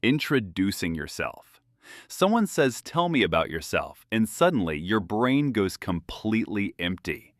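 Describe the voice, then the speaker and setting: A man's voice: on the low side, a little slow, no echo. A British man from London co-hosting an English-learning podcast, telling stories in a dry, playful, joking way. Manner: dry, playful storytelling with lively jokes. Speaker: podcast co-host.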